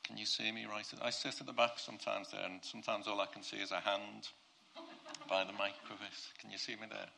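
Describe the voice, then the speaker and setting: A male preacher with a croaky, gravelly voice that has a bright, sharp edge. His pitch sits low and stays flat, with little rise or fall. He preaches earnestly and often circles back to restart his phrases. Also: a little fast, a little hiss or hum, low-quality recording. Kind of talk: prayer and sermon to a congregation.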